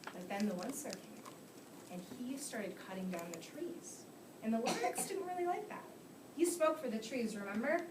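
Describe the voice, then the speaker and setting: A woman's voice: on the high side, animated, normal speed, background noise. A woman teaching young children during a read-aloud, speaking in simple, repeated instructions and prompting questions. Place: classroom, recorded from across the room. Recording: echoey room, distant mic, loud children's chatter. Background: young children talking.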